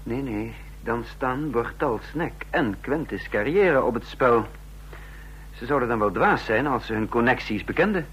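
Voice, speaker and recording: Uneasy secret agent, musing. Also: male voice, medium pitch, lively intonation, unhurried, a bit bright, a little hiss or hum, rough recording. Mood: calculating. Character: uneasy male secret agent impostor, narrating his story. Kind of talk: Dutch radio drama acting and first-person narration.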